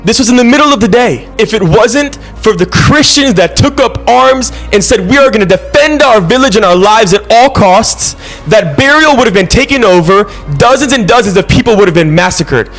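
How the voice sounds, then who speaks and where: A man's voice: sits high, animated, normal speed, a bit bright, faint music behind. A man recording an impassioned video statement, urgent and accusatory.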